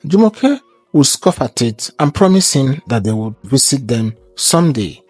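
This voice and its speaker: A man narrating a scripted folktale in a measured, formal storytelling way. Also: medium pitch, sing-song, a little mellow, husky, no echo, soft background music.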